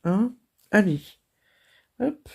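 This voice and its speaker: A French woman hosting her crafting channel, hoarse from a cold, chatting casually and laughing at herself. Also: mid-range voice, very animated, very slow. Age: older. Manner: chatty and self-deprecating, laughing and coughing. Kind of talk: casual chat.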